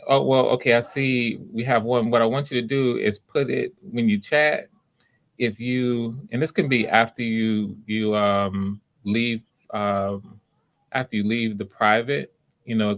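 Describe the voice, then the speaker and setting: A man hosting a livestream, explaining things casually in a halting way and often repeating himself. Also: fairly low pitch. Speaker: livestream host running voice auditions. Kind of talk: casual live announcements to viewers.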